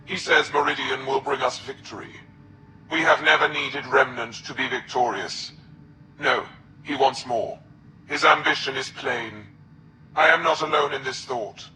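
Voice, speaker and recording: An actor playing a proud, calculating alien military commander in a video game, speaking formally and with measured authority. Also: male voice, mid-range voice, steady tone, background noise, natural voice. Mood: contemptuous. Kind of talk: video game character acting.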